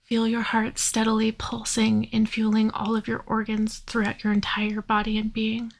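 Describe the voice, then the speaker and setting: A woman leading a guided yoga nidra meditation in a soothing, unhurried manner, leaving long pauses. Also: on the high side, monotone, creaky.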